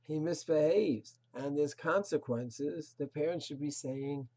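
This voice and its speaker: A rabbi delivers a Torah lesson in a husky, slightly breathy voice. His tone is dark and mellow, earnest and moralizing, and he leans on rhetorical questions and Hebrew terms. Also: fairly high pitch, measured pace. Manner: sprinkles Hebrew and Yiddish terms. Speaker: rabbi teaching a Torah class. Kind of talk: recorded religious lecture.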